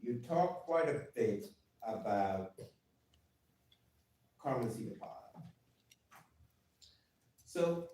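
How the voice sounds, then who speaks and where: Councilman, man, deliberate and pointed, dark tone, distant in a roomy chamber. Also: medium pitch, lively intonation. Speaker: council member. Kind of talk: public meeting remarks. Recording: echoey chamber from far off.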